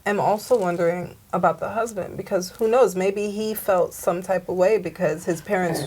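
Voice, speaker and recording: A woman who is a guest on a podcast, speaking softly and thoughtfully in a casual chat. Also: lowish voice, steady tone, normal speed, slightly rough, poor audio.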